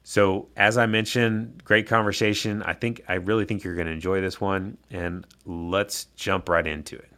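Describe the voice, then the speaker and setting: Low-voiced man hosting a podcast. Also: fairly even intonation, normal speed, hardly any pauses, sharp tone. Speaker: podcast host. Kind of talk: conversational podcast introduction and interviewing.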